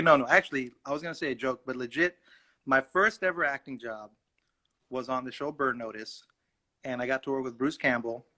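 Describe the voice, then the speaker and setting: Animated young man with a bright voice. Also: mid-range voice. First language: Urdu. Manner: animated, acts out voices in his anecdotes. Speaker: actor, guest. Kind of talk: casual storytelling in an interview.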